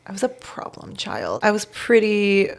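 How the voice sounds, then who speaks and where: Gravelly-voiced woman, chatty and candid. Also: medium pitch, big pitch swings, moderate pace. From Bosnia, raised in Canada. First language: Bosnian. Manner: chatty, frequent laughs and "like" fillers, candid. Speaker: podcast host, guest in this episode. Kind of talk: casual personal storytelling.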